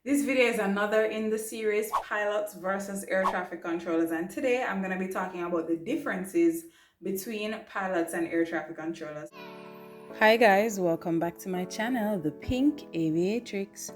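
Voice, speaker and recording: Female vlog host, lowish voice, conversational explainer delivery, music underneath. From Jamaica. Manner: conversational, checks in with "right?". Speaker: vlog host, air traffic controller and pilot. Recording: roomy echo, music behind. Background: background music.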